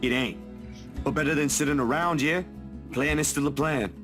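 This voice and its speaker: A man's voice: on the high side, normal speed, lightly acted. An actor playing an easygoing, hopeful young man in a visual novel, casual, folksy and reassuring. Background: background music.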